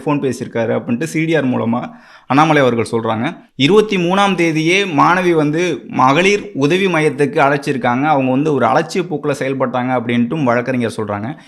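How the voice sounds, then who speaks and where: A man with a Tamil Nadu accent giving political news commentary as an explainer, speaking emphatically. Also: fairly high pitch.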